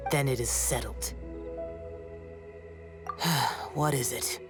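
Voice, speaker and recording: A man acts out an aloof immortal warrior in a game cutscene. He speaks solemnly, in short formal phrases, with a reserved bearing. Music is clearly heard behind him. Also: medium pitch, steady tone, takes it slowly, lightly acted. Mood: grave, reserved. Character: stern, aloof young male immortal guardian warrior. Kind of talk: video game cutscene acting.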